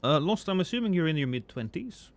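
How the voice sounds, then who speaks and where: A man in his thirties chatting casually and profanely with viewers on a live stream, rambling and joking at his own expense. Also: fast talker. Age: 30s. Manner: rambling, self-mocking, profane; swings between loud excited bursts and low mumbles.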